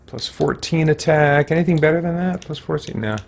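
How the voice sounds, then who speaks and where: A man's voice: fairly high pitch, a little fast, creaky. A man giving casual, rambling gameplay commentary as he thinks aloud. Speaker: let's play host.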